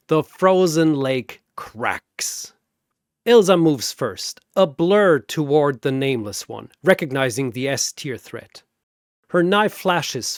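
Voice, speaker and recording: Slow, theatrical male narrator. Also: fairly high pitch, no room sound, studio quality. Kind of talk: dramatic comic scripted battle narration.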